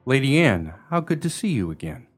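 A man acting a gallant, jesting military officer in a radio play, husky-voiced, courtly and wry. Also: medium pitch, very animated, a little fast, soft background music. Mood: courtly, teasing.

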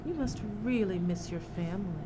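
A woman's voice: on the low side, animated, fairly quick, background noise, natural voice. A middle-aged woman playing a chirpy, motherly suburban mom. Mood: perky. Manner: perky, motherly. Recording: music in background.

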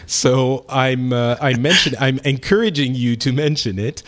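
A man's voice: mid-range voice, unhurried, a bit bright. A man with a French accent hosting a podcast roundtable, chatty and laughing often. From France. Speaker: podcast host. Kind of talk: casual roundtable chat. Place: home in Paris.

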